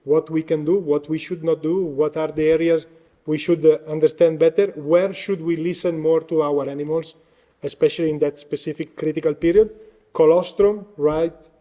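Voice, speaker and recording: A man's voice: fairly high pitch, fairly even intonation, moderate pace, warm tone. A man with Italian as his first language, giving a conference presentation as guest speaker while losing his voice, hesitant with frequent fillers.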